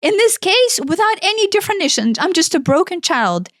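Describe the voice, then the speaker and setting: Woman, 40s, Ukrainian accent, podcast co-host; high voice, dry close sound, blunt and emphatic. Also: big pitch swings, moderate pace, fairly bright tone, dry recording. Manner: emphatic, blunt, swears freely. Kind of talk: impassioned self-help talk.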